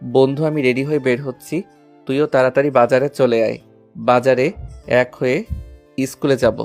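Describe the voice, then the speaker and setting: A man's voice: mid-range voice, fairly even intonation, normal speed, faint music behind, lightly acted. A man playing an affectionate, eager schoolboy and older brother in an audio story. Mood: eager, chummy.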